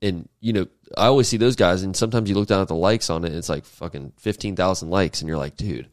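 Low-voiced, rambling young podcast host. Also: male voice, fast, dry recording, clean studio sound. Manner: rambling, casual, lots of fillers and swearing. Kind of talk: casual podcast interview.

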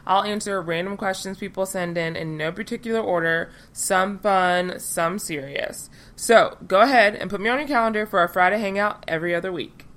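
A woman's voice: medium pitch, fairly bright tone. A young American woman hosting a casual, upbeat question-and-answer show, chatting informally to listeners. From United States. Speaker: host of a Q&A show.

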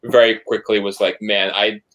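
A man's voice: on the low side, flat delivery, moderate pace, a bit bright, low-quality recording. A man being interviewed on a podcast, talking casually and rambling with lots of "like" and some swearing. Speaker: podcast guest, band member and photographer.

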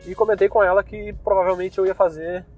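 A young man with a southern Brazilian Gaúcho accent who rambles loosely as a podcast host, full of slang and fillers. His voice sits a bit high. He talks from inside his car while faint music plays somewhere behind him. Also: little pitch movement, brisk, darkish tone. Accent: Gaúcho Brazilian Portuguese. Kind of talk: casual rambling monologue.

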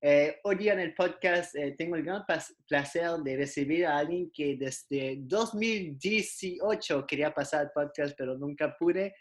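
A man's voice: on the high side, a little slow, hardly any pauses. A man hosting a podcast interview over a video call, hesitant and full of filler sounds. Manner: hesitant, many filler sounds, laughs along. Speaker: podcast host.

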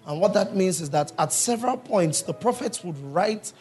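A man's voice: high-pitched, expressive, moderate pace, darkish tone. A man preaching a sermon in a church, reading scripture and quizzing the congregation. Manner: teaching, asks the congregation questions. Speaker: pastor.

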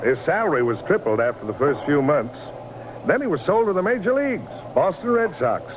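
Animated folksy father storyteller, noisy recording. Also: on the high side, fairly quick. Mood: proud, eager. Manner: folksy, relishing. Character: folksy, enthusiastic father recounting baseball history to son. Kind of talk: old-time radio storytelling narration. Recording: noisy old radio recording.

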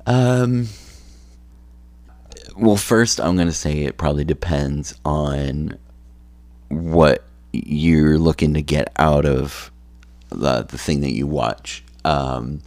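Very deep, gravelly tired man. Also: darkish tone, no room sound. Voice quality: gravelly, low voice. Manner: slow, rambling with many ums and restarts. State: tired and phlegmy. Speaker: podcast host. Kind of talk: solo podcast chat.

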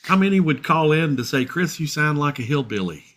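A man's voice: on the high side, lively intonation, a little fast, a bit bright. A man with a Kentucky accent co-hosting a casual live chat show, easygoing and agreeable, laughing often. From South Central Kentucky. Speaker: co-host.

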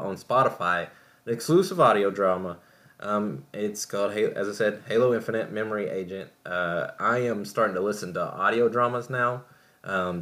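Low-voiced man, rambling casual podcast host. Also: fairly bright tone. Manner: rambling, halting, self-correcting. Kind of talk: casual solo talk reviewing entertainment.

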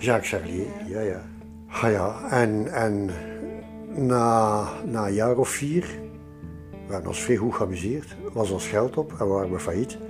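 Older male interviewee, Flemish accent, lively anecdotal delivery, faint music underneath. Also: fairly low pitch, a little slow. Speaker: interview guest recounting his past. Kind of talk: informal spoken reminiscence.

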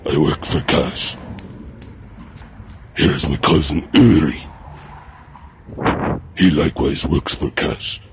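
A hired security tough with an extremely deep, gravelly voice that crawls along slowly and flatly. Each clipped line lands stiff and deadpan, over a loud street with traffic rolling by. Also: male voice, heavily acted. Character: gruff deadpan mercenary security man for hire.

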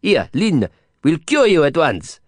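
A man voicing a rude, provocative man in his thirties, loud, blustering and mocking. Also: fairly high pitch, huge pitch swings, unhurried, no echo, studio quality, voice pushed up, full character voice.

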